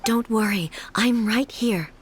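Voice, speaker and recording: A woman voicing a calm, dignified ruler, solemn and formal, in an anime game. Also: on the high side, a little hiss or hum. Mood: soothing, solemn. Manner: composed, measured, formal.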